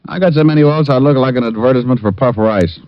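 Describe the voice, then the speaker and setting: An actor playing a wisecracking private detective who narrates and trades dry, sardonic gags, in 1950s radio drama style. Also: male voice, mid-range voice, quick pace, lightly acted. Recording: lo-fi old radio broadcast with faint music.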